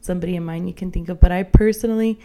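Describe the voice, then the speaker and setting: A woman's voice: on the low side, fairly even intonation, rapid-fire, warm tone. A woman co-hosting a podcast in casual personal chat, thinking aloud in a hesitant, conversational way. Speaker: podcast co-host.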